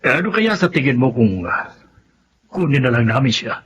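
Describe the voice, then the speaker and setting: An actor playing a kindly, cautious household employer in a Filipino radio drama. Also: male voice, medium pitch, sing-song, normal speed, fairly bright tone, breathy edge, heavily acted. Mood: sympathetic. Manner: questioning, considerate. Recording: slightly dull audio, faint music.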